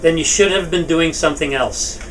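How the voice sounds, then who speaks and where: A man's voice: on the high side, fairly quick, a bit bright, lightly acted. A man playing an angry, domineering shop boss with a scolding, sarcastic manner in a table read. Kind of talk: table read of a film scene. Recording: distant mic, noisy room.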